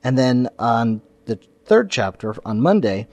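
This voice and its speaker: A man's voice: medium pitch, big pitch swings, measured pace, a little mellow, no room sound. A man hosting a podcast, giving spoken introductions and announcements. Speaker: podcast host.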